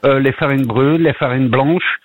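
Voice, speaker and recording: A middle-aged man from northern France, with a French accent and a slight Yorkshire tinge, calls in to a radio show and talks haltingly with many fillers. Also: medium pitch, fairly even intonation, a little hiss or hum. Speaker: caller, baker abroad. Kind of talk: phone-in chat on radio. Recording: tinny phone line, dry.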